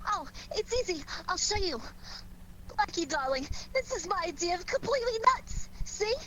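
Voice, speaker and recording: A woman's voice: extremely high pitch, animated, moderate pace, a bit bright. An actress playing a detective's sassy, teasing girlfriend in a 1940s radio drama, with a playful, wry manner. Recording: slightly noisy old 1940s radio recording.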